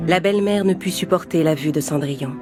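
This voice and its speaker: Female storyteller for children's bedtime tales: lowish voice, scripted narration, clear music bed behind. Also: fast talker.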